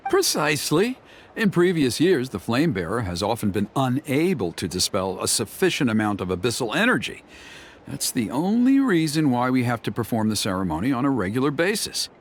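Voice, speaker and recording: A flattering, scheming old elder from a video game played by a man. He speaks formally and persuasively at a middling pitch with very lively intonation, over slight background noise. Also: a little fast, close to an everyday voice. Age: older.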